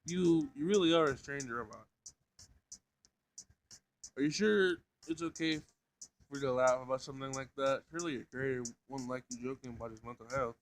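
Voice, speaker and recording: A man's voice: mid-range voice, normal speed, pauses often, close to an everyday voice. A man voicing a polite, bewildered young human with a hesitant, questioning manner in a visual novel. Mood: nervous.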